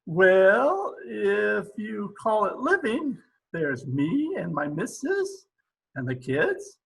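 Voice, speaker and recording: A man playing a jolly Swedish immigrant family man in a radio comedy, with halting, broken English. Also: high-pitched, creaky, a bit bright, heavily acted, rough recording. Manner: halting, broken English, chuckling at own jokes. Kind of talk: old-time radio comedy acting.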